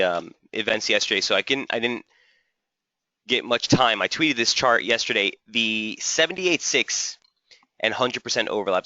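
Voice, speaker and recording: A man hosting a live trading webinar, slightly hoarse, walking through charts and answering viewers' typed questions. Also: medium pitch, sharp tone, dry recording, muffled recording. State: slightly hoarse, losing his voice. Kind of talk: live market analysis webinar.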